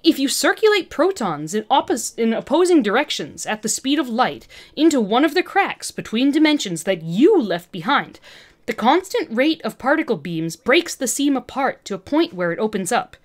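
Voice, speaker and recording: A woman's voice: fairly high pitch, very animated, moderate pace, voice pushed up. A woman voicing an arrogant, agitated woman scientist villain with an intense, condescending manner in a fan fiction reading. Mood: smug lecturing.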